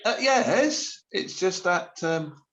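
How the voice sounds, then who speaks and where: A man's voice: sits high, lively intonation, slow pace, a bit bright, full character voice. A man playing an awkward, sheepish shopper in a radio play, hesitant, stammering and evasive. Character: awkward, sheepish panic-buying supermarket shopper. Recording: slightly dull lo-fi sound.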